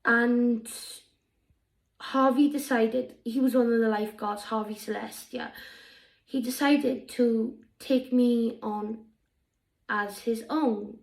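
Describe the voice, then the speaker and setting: A woman plays an earnest Welsh teenage alien girl in an improvised monologue. She speaks slowly and haltingly in a fairly high voice, looking back reflectively on her past. The room adds some echo. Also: steady tone, gravelly.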